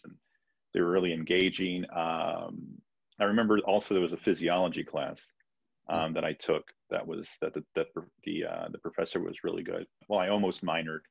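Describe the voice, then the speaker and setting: Man in his 30s, physician guest, deep husky voice, halting speech full of fillers, dull video call audio. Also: no room sound. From Peru, raised in Florida. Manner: hesitant, many fillers and restarts. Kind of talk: casual interview answers. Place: home room, video call.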